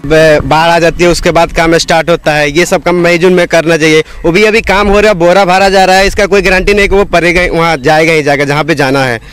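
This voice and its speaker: A man complains critically in an on-site interview. His voice is high and clear, with faint music underneath. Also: fairly even intonation, brisk, few pauses, fairly bright tone. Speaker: local villager.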